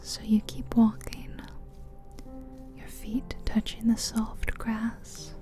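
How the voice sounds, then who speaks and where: Slow whispering woman, guided relaxation. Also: a little high, monotone, warm tone, faint music behind. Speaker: ASMR host. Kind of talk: ASMR guided visualization. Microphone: close mic, ear to ear.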